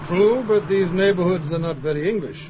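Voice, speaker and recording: A man acting a brisk, sardonic London mercenary spy in an old radio drama. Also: high-pitched, noisy background, lightly acted. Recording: dull old radio recording.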